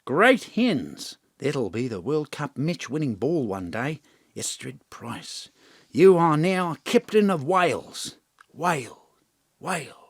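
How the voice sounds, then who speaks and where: A man's voice: a little high, big pitch swings, slow pace, slightly rough, no room sound, studio quality, exaggerated voice. An actor playing a hearty, blunt and effusive male rugby coach with a blustery, matey manner in an audio drama. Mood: ceremonial.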